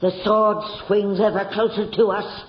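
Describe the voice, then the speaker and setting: A man's voice: high voice, level tone, moderate pace, close to an everyday voice. An actor playing a fawning, spiteful henchman of a noblewoman, wheedling and theatrical, in a radio horror drama. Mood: servile. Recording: muffled, echoey old radio drama recording.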